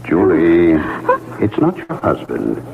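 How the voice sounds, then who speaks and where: An actor playing a prim, gentle invisible ghost in a radio mystery, with a formal, deliberate, fastidious way of speaking. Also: male voice, low-pitched, wildly swooping pitch, vocal fry, full character voice. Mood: gentle, reassuring. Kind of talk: radio mystery drama narration and acting. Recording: noisy, tinny old radio recording.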